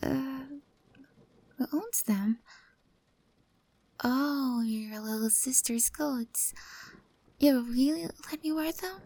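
Woman doing a timid stray cat girl, high voice, slow hesitant whispers, soft and mellow. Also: no echo, heavily acted. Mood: soft, tender. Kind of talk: ASMR roleplay.

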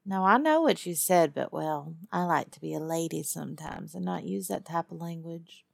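A woman playing a tough, teasing lizard-person huntress in an immersive audio roleplay, with a Texas Southern accent and a sardonic drawl full of musing pauses and hums. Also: deep voice, expressive, vocal fry, no echo, clean studio sound, exaggerated voice. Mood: sardonic, probing. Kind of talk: one-sided immersive audio roleplay to a captive listener.

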